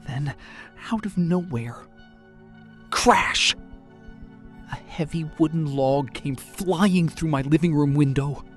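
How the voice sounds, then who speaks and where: A man's voice: fairly high pitch, slow, pauses often, soft background music. A man narrating a first-person horror story, tense and often dropping to a whisper.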